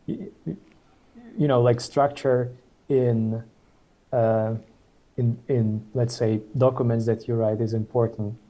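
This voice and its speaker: A man's voice: medium pitch, slow, long pauses, dark tone, low-quality recording. A man in his thirties from Ukraine, speaking as a guest on a video call in a hesitant, casual way with many fillers and restarts. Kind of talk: casual webinar conversation.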